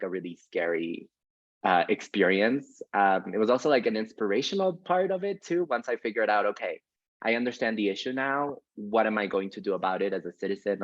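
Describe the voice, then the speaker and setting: A man speaking as a guest in a casual, earnest, reflective interview style. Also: low voice. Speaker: guest playwright and actor. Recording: very roomy, dull lo-fi audio.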